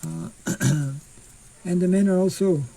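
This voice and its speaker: An older monk giving an informal scripture class outdoors into a stand mic, speaking slowly and haltingly and clearing his throat often. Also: sits high, warm tone, rough recording. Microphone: stand mic in front.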